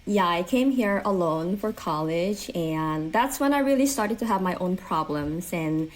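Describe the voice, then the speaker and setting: A woman's voice: fairly low pitch, lively intonation, unhurried, low-quality recording. A woman in her thirties from South Korea with a Korean accent, chatting earnestly as an interview guest, with many "like" fillers. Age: 30s. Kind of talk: casual interview chat.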